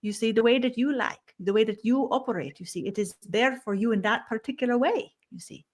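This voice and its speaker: Ceremonious woman channeling a collective voice. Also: on the high side. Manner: slow, ceremonious, adds "you see" to nearly every phrase; speaks as "we", with slightly odd grammar. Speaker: spiritual channeler. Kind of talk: channeled spiritual talk.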